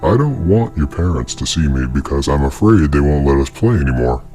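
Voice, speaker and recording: A man's voice: extremely low pitch, sing-song, a little fast, mellow, faint music behind, pitched below the performer's own voice. A man voicing a small, sinister creature who poses as a child's friend in a horror story, secretive, coaxing and insistent. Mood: confiding, needy.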